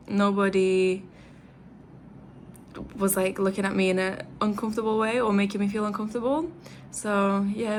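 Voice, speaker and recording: A young woman from England with an English accent, chatting casually to camera as a travel vlogger, full of "like" and "um" and quick laughs. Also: medium pitch, slight background noise. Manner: chatty, lots of "like" and "um", laughs often. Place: studio flat.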